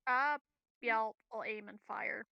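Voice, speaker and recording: A woman's voice: a little high, a little slow, vocal fry, smooth, dry recording, highs cut off. A woman chatting casually as a player in a tabletop roleplaying game.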